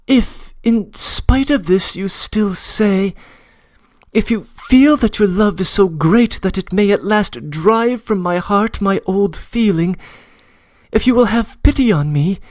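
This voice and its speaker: A man's voice: sits high, takes it slowly, no room sound. A man voicing a passionate young woman who pleads earnestly, close to tears, in an audiobook reading. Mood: tearful pleading. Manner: trembling, earnest, breathless confession. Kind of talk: audiobook reading of a classic novel.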